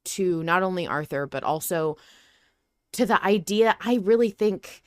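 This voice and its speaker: A woman's voice: on the low side, animated, a little slow, no room sound, clean studio sound. A woman hosting a podcast, casually discussing fiction and thinking aloud, often rephrasing and repeating for emphasis. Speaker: podcast host. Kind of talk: casual discussion of an audio drama.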